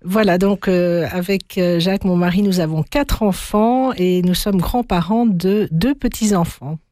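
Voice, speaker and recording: Middle-aged female interview guest, unhurried, hesitant, dry close sound. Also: fairly low pitch, no room sound. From Belgium. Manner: warm, hesitant, many fillers. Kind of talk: interview answers.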